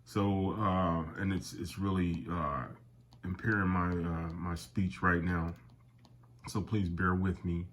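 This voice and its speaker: A man's voice: sits low, steady tone, slow pace, fairly bright tone. Older man, nasal with a cold. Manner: rambling, with many fillers and restarts, calls viewers "guys". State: has a summer cold. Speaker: airgun collector and channel host. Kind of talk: casual product talk to camera.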